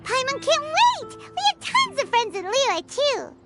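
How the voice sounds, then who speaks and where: A woman voicing a small, childlike, perky and curious fairy companion in a video game, squeaky and excitable. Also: a little slow, soft background music, full character voice. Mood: excited. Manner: excitable, refers to self in third person.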